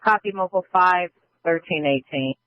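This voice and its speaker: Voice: mid-range voice, normal speed, no echo, highs cut off. A man working as a police dispatcher, speaking in terse radio calls over a scanner feed. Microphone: police radio channel.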